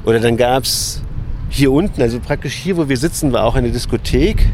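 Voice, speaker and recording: A man's voice: mid-range voice, a little mellow. Candid man, noisy outdoor recording. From Berlin, Germany. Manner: candid, conversational, searching for words. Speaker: interview guest. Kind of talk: casual reminiscing in an interview. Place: outdoors on a large urban lawn.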